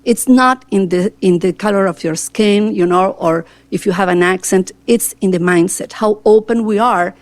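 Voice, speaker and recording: A woman's voice: mid-range voice, normal speed, hardly any pauses. A talkative, earnest woman from Argentina with an Argentine Spanish accent, answering a school board's interview questions. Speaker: board seat candidate.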